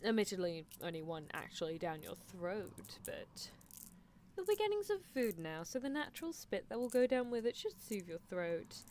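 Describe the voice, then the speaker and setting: A woman's voice: medium pitch, very animated, normal speed, a little mellow, a little hiss or hum, pitched below the performer's own voice, close to an everyday voice. A woman voicing an obsessive, teasing mad-scientist girl in a roleplay ASMR, coaxing and clinical with a captive test subject. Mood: playful, coaxing.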